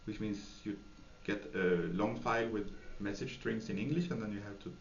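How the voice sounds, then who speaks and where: A man's voice: on the low side, fairly even intonation, normal speed. A man from Sweden, answering interview questions hesitantly, with many fillers and restarts. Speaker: software developer, interviewee. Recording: echoey room, dull lo-fi sound, faint noise.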